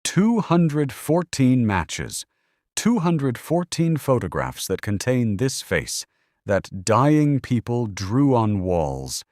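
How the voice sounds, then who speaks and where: A man's voice: medium pitch, sing-song, slow pace, a little mellow, dry recording, clean studio sound. A man co-hosting a horror podcast, giving scripted, incredulous and nervous reactions to the story. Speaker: podcast co-host.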